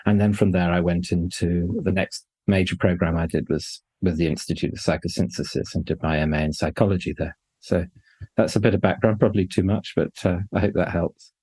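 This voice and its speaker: A man's voice: low-pitched, steady tone, fairly quick, mellow, husky, dry recording, poor audio. A man in his sixties, a coach trainer, introducing himself thoughtfully on a podcast.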